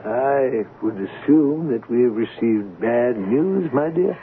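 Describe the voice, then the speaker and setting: Gravelly, gentle old sage, muffled radio. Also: male voice, mid-range voice, expressive, slow. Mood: resigned. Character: gentle, learned older male philosopher and mentor. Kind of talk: 1970s radio drama acting. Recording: muffled, noisy old radio broadcast recording.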